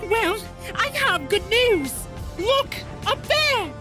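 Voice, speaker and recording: Woman acting very high-voiced, eager lieutenant. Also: very animated, slow pace, over music, exaggerated voice. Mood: nervous excitement. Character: eager, deferential female mech corps lieutenant. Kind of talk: sci-fi audio drama acting.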